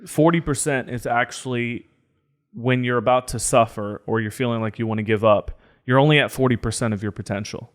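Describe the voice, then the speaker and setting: A man's voice: medium pitch, a little fast. A young man co-hosting a podcast, talking in an earnest, preachy, motivational way. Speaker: podcast co-host. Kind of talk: casual podcast discussion.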